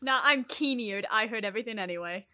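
Bright, bossy woman at a tabletop game. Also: fairly high pitch, quick pace, no echo. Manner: bossy, teasing, swears. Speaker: tabletop player. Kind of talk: casual game chat.

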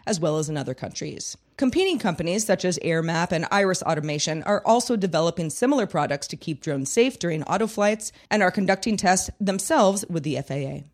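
A woman hosting a news podcast, reading scripted headlines in a measured, matter-of-fact newsreader delivery. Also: lowish voice.

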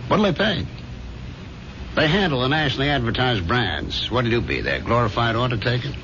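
Through a dull, noisy old radio recording comes a man playing a fatherly American boss in a 1970s radio drama. He is blunt and lecturing, and his fairly low voice rises and falls dramatically to drive points home. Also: lively intonation, a little fast, natural voice. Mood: shrewd, dismissive. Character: blunt, fatherly small-business boss turning down an employee.

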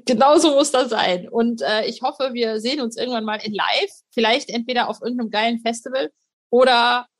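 A woman hosts an interview podcast in a voice of medium to slightly high pitch. Her manner is informal and effusive, and she addresses guests and listeners directly. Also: fairly quick.

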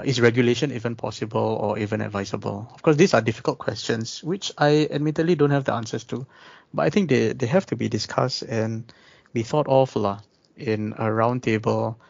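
A man talking through ideas in a casual discussion, measured and pausing often with ums. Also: mid-range voice, fairly even intonation, vocal fry, no echo. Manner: measured, thinking aloud with many ums. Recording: dull dry recording.

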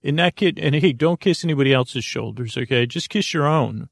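A man with a gravelly, fry-edged voice at a middle pitch, recorded close and dry with no room sound. He hosts a podcast and rambles through its intro, doubling back on himself and trading deadpan jokes. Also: a little fast, dry recording. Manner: meandering, self-interrupting, playful deadpan humor. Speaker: podcast host.

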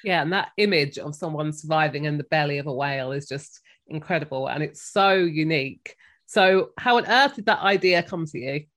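A woman with a slightly low, croaky voice, still getting over a cold, hosts an author interview podcast. She reads her introduction from a script and then moves on to friendly questions. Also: moderate pace. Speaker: podcast host.

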